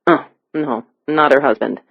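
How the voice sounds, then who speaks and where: A narrator voicing a wary, quick-witted American man who talks in a terse, low-key, firm way. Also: vocal fry, husky, dry recording, highs cut off.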